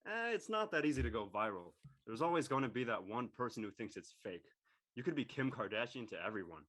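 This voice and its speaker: A sarcastic teenage boy, acted by a man in casual drama dialogue. He has a husky, animated mid-range voice and mocks with dry jokes. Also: mid-range voice, quick pace. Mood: dismissive.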